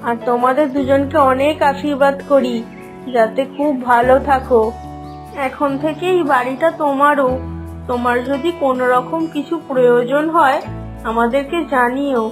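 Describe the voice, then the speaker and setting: A woman voicing a kind, doting mother-in-law in an animated fairy tale, gentle and reassuring. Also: high-pitched, moderate pace, over music, close to an everyday voice. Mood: welcoming.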